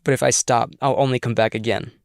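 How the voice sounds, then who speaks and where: A man's voice: mid-range voice, fairly even intonation, fast, gravelly, a little mellow, clear, resonant tone, no room sound, studio quality, lightly acted. A man acting the role of an angry, resentful ghost of a teenage boy in a screenplay table read, with a sullen, bitter delivery. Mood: weary, afraid.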